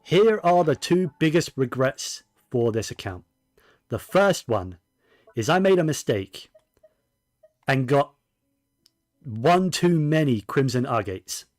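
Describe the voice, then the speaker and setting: A man's voice: medium pitch, sing-song, takes it slowly, long pauses, a little mellow, dry recording, studio quality. A man giving casual, rambling gaming commentary, often correcting himself as he goes. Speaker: game streamer.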